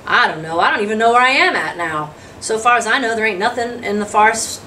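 A woman's voice: a little high, fast talker, bright, forceful tone, pitched above the performer's own voice. Woman voicing a folksy drifter, distant and noisy. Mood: weary, grateful. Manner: folksy rural dialect, plainspoken storytelling. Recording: distant mic, noisy room sound.

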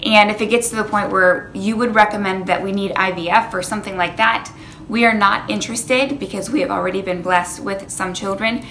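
A woman's voice: medium pitch, pushed and bright, a little hiss or hum, rough recording. A woman in her thirties talking earnestly to a camera in a personal vlog, sharing her own story with many fillers. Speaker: wellness coach. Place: indoor room, plain wall behind. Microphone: camera in front, medium framing.

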